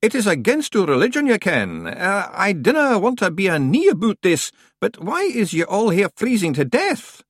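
A man voicing a tall, shady stranger who talks loudly, bluntly and evasively. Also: high voice, wildly swooping pitch, normal speed, hardly any pauses, no room sound, studio quality, pitched above the performer's own voice, full character voice. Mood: gruff. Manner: loud, blunt, cagey. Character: tall dark stranger, loud and rough.

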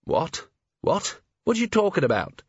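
A man voicing a weary, gruff police commander in an audiobook, blunt, grumbling and commanding. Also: medium pitch, fairly quick, a bit bright, no room sound, dull audio, close to an everyday voice. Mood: muzzy, indignant. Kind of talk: audiobook character voice.

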